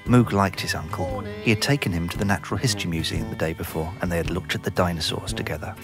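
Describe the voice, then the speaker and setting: A man's voice: deep voice, steady tone, fast. A man narrating a scripted children's story. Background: music.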